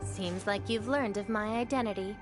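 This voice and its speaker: An enigmatic young female game companion, played by an actress, speaking at a middling pitch over clear background music. She is self-assured and aloof, teasing in grand formal phrases that slip into flippant slang. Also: normal speed, lightly acted. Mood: solemn, self-assured. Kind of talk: video game voice acting. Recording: music under the voice.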